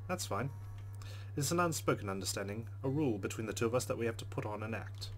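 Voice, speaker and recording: A man's voice: on the low side, expressive, fast. A man hosting a let's play, reading game narration aloud expressively and adding casual commentary. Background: game music.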